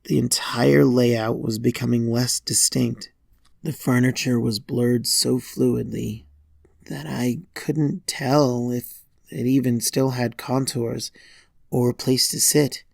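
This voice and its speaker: Guy reading a creepy story, slow and gravelly, lots of pauses between bits. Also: medium pitch, darkish tone, dry recording, clean studio sound. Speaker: fan fiction narrator. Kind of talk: scripted first-person horror story narration.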